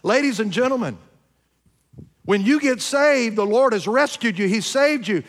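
High-voiced fifty-year-old man preaching. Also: expressive, moderate pace. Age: 50s. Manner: emphatic preaching, swinging between loud peaks and low asides; addresses congregation directly with questions. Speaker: pastor. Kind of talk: sermon. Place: church sanctuary.

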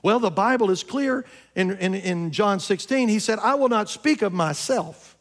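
Pastor, man, 50s, high voice, emphatic preacher who questions the congregation and drops to low asides. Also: animated, normal speed. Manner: emphatic preaching, swinging between loud peaks and low asides; addresses congregation directly with questions. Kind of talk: sermon. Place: church sanctuary.

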